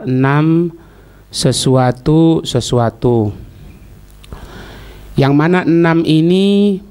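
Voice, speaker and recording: A man giving an Islamic lesson, reading Arabic phrases and explaining them in deliberate, halting phrases. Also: mid-range voice, a little mellow. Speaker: Islamic teacher.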